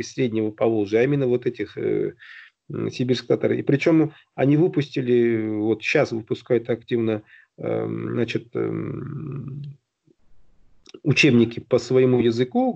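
Middle-aged man, dark gravelly voice, halting explainer on a dull lo-fi call line. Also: medium pitch. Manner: halting, many fillers and restarts, explanatory. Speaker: guest on a video-call round table. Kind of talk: casual discussion. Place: indoor room at home. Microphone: video call, phone or webcam close to the face. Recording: dull lo-fi video call audio.

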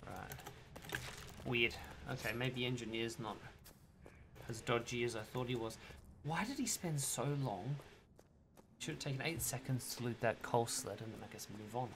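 A man's voice: medium pitch, normal speed, long pauses, noisy background. A man in his twenties streaming a game, thinking aloud and reasoning under his breath about suspects. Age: 20s. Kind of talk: casual gameplay commentary.

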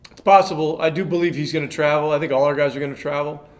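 An older man in his sixties, a head coach answering reporters' questions after a game with a measured delivery and many fillers. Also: a little high, fairly even intonation. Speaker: head coach at a press conference. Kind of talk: post-game press conference answers. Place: press room in front of a sponsor backdrop.

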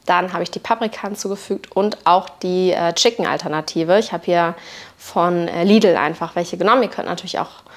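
A woman, chatting casually and colloquially as she narrates a home vlog on a clip-on mic. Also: on the low side. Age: 20s. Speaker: vlogger. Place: indoor room at home. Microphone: clip-on wireless lapel mic.

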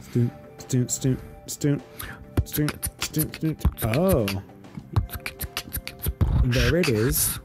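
A man's voice: medium pitch, very slow, long pauses, music in the background. A man co-hosting a game playthrough, reacting with dry amused remarks and guiding the player. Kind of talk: casual comedic chat.

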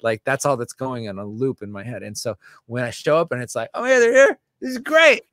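A man, talking candidly as an interview guest, animated and self-deprecating, close to a studio mic at home. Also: fairly quick, dry recording. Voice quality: scratchy low voice. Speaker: musician and author, guest. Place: home room with a studio microphone. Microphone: large studio condenser mic on a stand.

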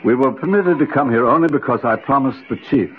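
An actor playing a cautious, kindly older archaeologist uncle, hesitant and explanatory, in an old radio drama. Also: male voice, mid-range voice, expressive, brisk, close to an everyday voice. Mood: earnest warning. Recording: noisy, narrowband old radio recording.

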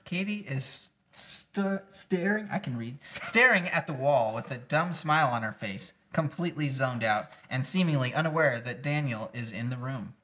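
A man's voice: medium pitch, expressive, moderate pace, hardly any pauses, pushed and bright. A young adult man reading scene headings and descriptions aloud at a casual table read. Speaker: guest reader of scene descriptions. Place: small indoor room, seated at table.

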